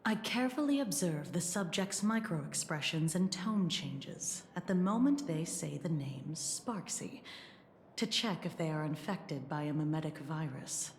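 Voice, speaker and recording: An actress playing a composed, clinical government official in a video game, speaking in a measured, formal way. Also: female voice, low voice, lively intonation, echoey room, slight background noise. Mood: detached, formal. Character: composed, clinical female official of a sci-fi emergency agency. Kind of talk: scripted video game voice acting.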